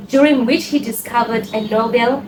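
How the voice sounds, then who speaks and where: A lively woman host with a fairly high voice chats eagerly and reacts warmly to her guest. She sounds far from the mic in an echoey room, with noise behind her. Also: moderate pace. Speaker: interview host. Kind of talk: casual interview chat. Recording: distant echoey mic, noisy background.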